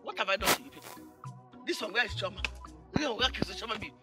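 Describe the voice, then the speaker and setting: A woman's voice: medium pitch, fast talker, over loud music, close to an everyday voice. An actress playing an irritable, sarcastic older village mother with a Nigerian accent, scolding in rhetorical questions in a film drama. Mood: irritated. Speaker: actor in a film. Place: outdoor yard with trees.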